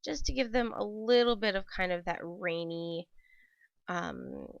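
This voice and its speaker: A woman's voice: medium pitch, unhurried, gravelly, no room sound. A woman hosting a casual craft tutorial voice-over, her throat scratchy and dry from a cold, chatty and rambling with many ums and self-corrections. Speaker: papercraft channel host. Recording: dull dry home voice-over.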